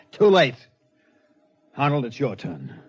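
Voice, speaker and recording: An actor in a 1950s radio drama playing a scheming soldier, a quick-witted bluffer who talks with urgent, theatrical earnestness. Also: male voice, mid-range voice, wildly swooping pitch, breathy edge, exaggerated voice. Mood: mock-heroic. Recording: dull, lo-fi old radio recording.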